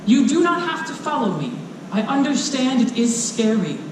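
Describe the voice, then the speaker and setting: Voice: high voice, sing-song, normal speed. A storyteller voicing a brave, kind little girl who speaks in an earnest, polite way. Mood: brave, gentle. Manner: earnest, bright-hearted, polite. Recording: echoey, distant live recording with noise.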